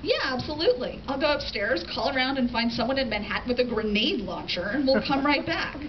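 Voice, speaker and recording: A woman's voice: sits high, expressive, fairly quick, pitched above the performer's own voice. A woman voicing a wry, wary, streetwise young woman with a sarcastic manner in a story reading. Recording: distant, noisy, muffled audience camera recording.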